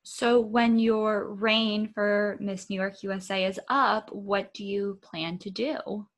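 A woman's voice: medium pitch, fairly even intonation, takes it slowly. A woman interviewer who asks questions in a friendly, encouraging way. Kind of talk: conversational interview.

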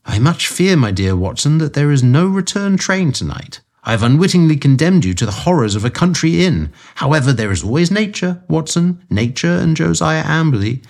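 A man reading aloud voices a sharp, authoritative detective with a dry wit. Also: fairly high pitch, sing-song, moderate pace, few pauses, voice pushed up. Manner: crisp, authoritative. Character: sharp, commanding male detective, dry humor.

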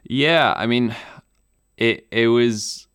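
A man who grew up in Namibia with German as his first language, answering interview questions in a relaxed way, full of ums and restarts. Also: mid-range voice, very slow, smooth, dry recording, studio quality. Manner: relaxed, hesitant with many ums and restarts, laughs easily. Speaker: pilot and video maker.

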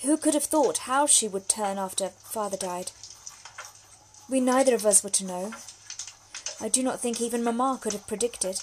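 Woman acting as young Victorian lady, higher-pitched, genteel, precise formal diction, frank and witty, audio drama letter monologue, noisy recording. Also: normal speed, darkish tone, close to an everyday voice. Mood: confiding. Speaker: actor reading a solo audio drama. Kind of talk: period monologue, letters read aloud.

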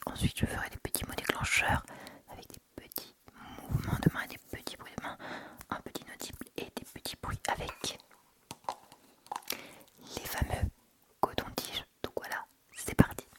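Woman, ASMR creator: casual, intimate chat in a soft whisper, close-miked. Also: moderate pace. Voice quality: unvoiced, breathy whisper. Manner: informal, chatty, intimate. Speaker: ASMR video creator and host. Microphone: sensitive Tascam recorder without windscreen, close up.

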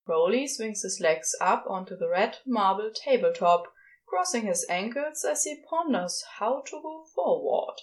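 A woman reading fiction aloud as expressive scripted narration, with dramatic pauses. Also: medium pitch. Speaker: podfic reader.

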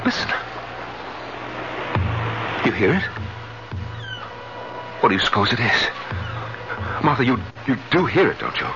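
An actor playing an anxious, jealous middle-aged husband in a 1970s radio drama, with dramatic, intense delivery. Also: male voice, mid-range voice, big pitch swings, unhurried, pauses often, lo-fi sound, over music. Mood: bewildered. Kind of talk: 1970s radio drama acting and first-person narration. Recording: band-limited 1970s radio recording.

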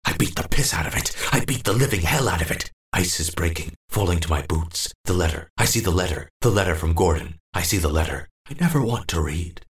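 A man's voice: sits low, expressive, fairly quick, few pauses. A man voicing a frightened, confused old man in a horror audiobook, muttering and repeating himself in first person. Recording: roomy, distant echo.

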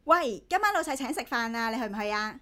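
A woman's voice: fairly high pitch, animated, fast, pushed and bright. A woman playing a chatty, forthright office colleague with a Hong Kong accent in a radio drama. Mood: casual, inviting.

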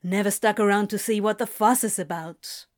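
A woman reads this part as a sardonic male demon with a curt, drawling delivery and a blunt, flirtatious edge. The voice sits at a middling pitch, dropped a little for the role, and the lines come out clipped and dry. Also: steady tone, normal speed, close to an everyday voice. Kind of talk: audiobook reading of fan fiction.

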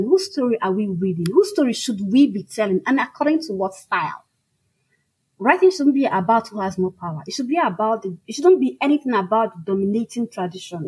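Woman with Nigerian accent, Igbo native, husky mid-range voice, emphatic conversational delivery of an interviewed author. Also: mid-range voice, a little mellow, poor audio. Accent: Nigerian Igbo-language. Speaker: fiction writer being interviewed. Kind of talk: conversational, reflective interview answers.

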